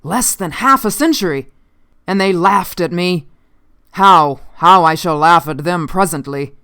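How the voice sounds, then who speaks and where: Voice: mid-range voice, fairly bright tone, clear, resonant tone, close to an everyday voice. A narrator putting on an uncanny, intense time-traveling scientist. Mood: triumphant. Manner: slow, emphatic, formal. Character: uncanny gaunt male time-traveling scientist, unnerved, vengeful, commanding.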